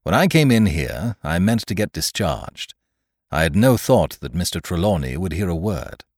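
A blunt, dutiful sea captain from an adventure storybook, played by a male reader. He speaks formally and firmly, with lively swings of pitch in a dry, close recording. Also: moderate pace, dry recording, exaggerated voice. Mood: firm, resolute. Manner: formal, firm, plain-spoken.

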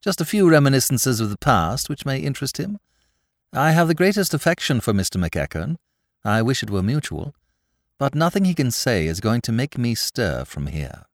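A suave, unhurried gentleman, voiced by a male narrator, dry and ironic. His intonation moves a lot while staying composed. The recording is dry and close. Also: medium pitch, dry recording, voice pushed up. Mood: wry. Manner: calm, dryly ironic. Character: suave young gentleman ex-crook, cool and wry.

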